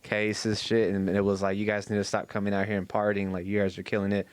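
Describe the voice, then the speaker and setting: Male podcast voice, very quick casual slang, somewhat deep, closely recorded and dry. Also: completely flat delivery, clean tone, dry recording. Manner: slangy, says bro and like.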